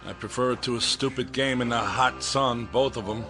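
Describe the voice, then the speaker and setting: A man's voice: mid-range voice, steady tone, normal speed, over music, close to an everyday voice. A man acting in a radio drama as a bitter, defiant doubter, sarcastic and challenging.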